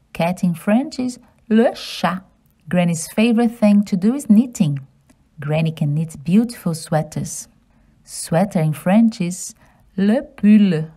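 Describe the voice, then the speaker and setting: Slow, lively woman reading to children. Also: lowish voice. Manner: encouraging, simple phrasing, addressing young children. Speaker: host reading children's books aloud. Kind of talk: children's read-aloud with simple French vocabulary teaching.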